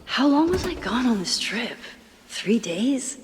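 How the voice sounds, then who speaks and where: Casting: young artist in a narrative game, wry and reflective inner monologue, reverberant sound. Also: female voice, a little high, very animated, measured pace, background noise. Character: wry, gentle young woman, artist and teacher.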